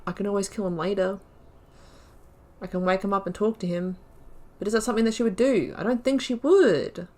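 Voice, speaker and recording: A woman's voice: medium pitch, a little fast, pauses often, darkish tone. A young woman giving casual let's-play commentary, chatting and thinking aloud about her choices in the story. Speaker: gaming video creator.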